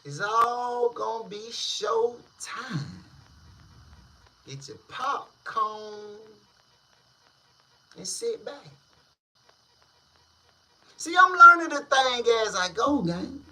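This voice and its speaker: A man hosting a casual street-news commentary channel, talking in slang with drawn-out, emphatic delivery. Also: extremely high pitch, big pitch swings, bright, forceful tone.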